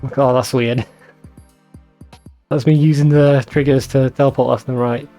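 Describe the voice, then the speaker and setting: A man with a mellow, mid-pitched voice chats through a video game. He rambles conversationally and swears casually, with faint music behind him. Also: fairly even intonation, moderate pace. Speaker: let's play host. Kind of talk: casual gameplay commentary.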